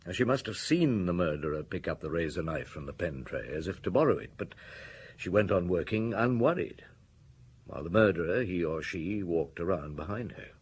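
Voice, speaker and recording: An actor playing a weary, middle-aged male chief inspector in a radio drama, speaking in a measured, understated, reflective way with a husky voice. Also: deep voice, expressive. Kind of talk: radio drama narration and dialogue.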